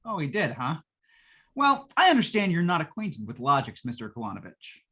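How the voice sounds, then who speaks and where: A woman's voice: deep voice, huge pitch swings, moderate pace, bright, forceful tone, husky, full character voice. A woman playing a folksy, long-suffering salesman in a 1950s-style radio drama, giving colloquial, patient sales patter. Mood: affable.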